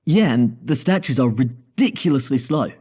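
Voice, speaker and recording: Doubtful male spaceship crewman actor, mellow and raspy, casual tone, sci-fi radio play. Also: mid-range voice, expressive, moderate pace. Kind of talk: sci-fi audio drama acting.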